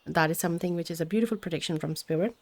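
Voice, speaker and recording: Soft-spoken woman tarot reader, Hindi native. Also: on the low side, racing. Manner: gentle, meandering, many fillers and restarts. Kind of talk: spiritual tarot reading.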